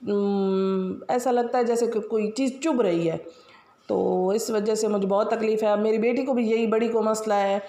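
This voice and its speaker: A woman's voice: mid-range voice, fairly even intonation, moderate pace, warm tone, reverberant. A woman from Pakistan with a Pakistani Punjabi accent, chatting casually about her household routine as a vlogger, her throat sore and scratchy. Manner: chatty, rambling, many fillers. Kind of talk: casual daily-routine voice-over.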